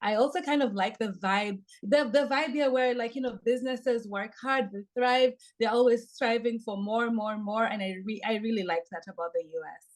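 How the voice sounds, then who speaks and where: A woman's voice: a little high, moderate pace. A woman with a Kenyan accent, a podcast guest giving earnest, upbeat interview answers.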